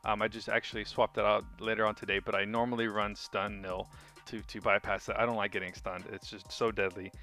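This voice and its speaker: A man's voice: fairly low pitch, sharp tone. A man giving a casual game guide commentary as a video host, chatty and informal, thinking aloud as he goes.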